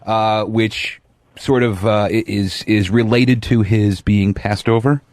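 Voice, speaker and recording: A man on a radio show, talking casually in a halting, hedging way. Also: lowish voice, steady tone, darkish tone, no echo.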